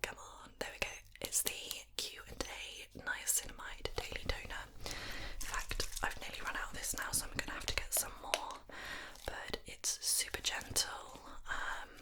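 A woman chatting in a soft ASMR whisper while doing her makeup, slightly nasal from hay fever, with many relaxed "um"s. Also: moderate pace, poor audio. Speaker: beauty YouTuber. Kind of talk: whispered ASMR get-ready-with-me chat.

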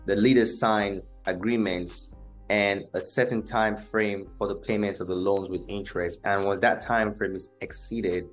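A young man from Nigeria, a musician guest, explaining things in a measured, earnest way in an interview. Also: on the low side, fairly even intonation, soft background music. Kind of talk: interview conversation.

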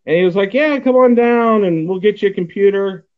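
A man in his forties from Texas, talking in a loose, rambling way full of fillers and restarts. His voice sits a bit high for a man and comes through a thin, tinny line like a phone call. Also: a little fast. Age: 40s. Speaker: podcast host. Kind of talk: casual chat.

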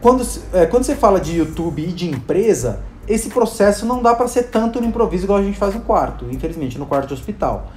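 A man's voice: high-pitched, sing-song, quick pace, few pauses, a little mellow, a little hiss or hum. Chatty Brazilian man in an echoey room. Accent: Brazilian Portuguese. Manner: chatty, many fillers, acts out quotes. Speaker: interview guest, video creator and hospital clown. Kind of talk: casual conversational storytelling.

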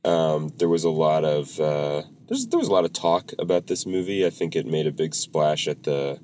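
A man with a very deep voice, talking through a cold. He co-hosts a casual podcast chat with lots of ums and restarts, and a faint hiss sits under the recording. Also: very animated, moderate pace, a little mellow. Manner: hesitant, many ums and restarts. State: has a cold, voice a bit off. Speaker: podcast co-host.

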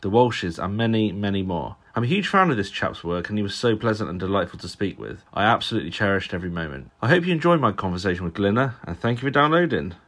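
A man hosting a casual podcast, with a somewhat low voice. He sounds eager and admiring, stumbling over repeated words as he frames his questions. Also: big pitch swings, fast, hardly any pauses, a bit bright. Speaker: podcast host. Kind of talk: casual interview.

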